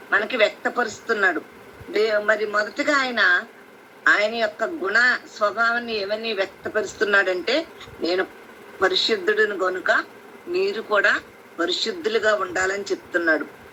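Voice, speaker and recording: Woman preacher on a phone line, bright tone, explains slowly with many restarts. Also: medium pitch. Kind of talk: Bible teaching on a prayer call. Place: conference call. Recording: phone line with faint hiss.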